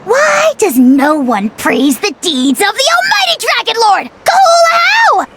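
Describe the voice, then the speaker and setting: A woman's voice: extremely high pitch, very animated, unhurried, heavy background noise, full character voice. A woman voicing a tiny, boastful dragon lord in a video game, pompous, theatrical and easily offended. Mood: indignant, pompous. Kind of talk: video game cutscene acting.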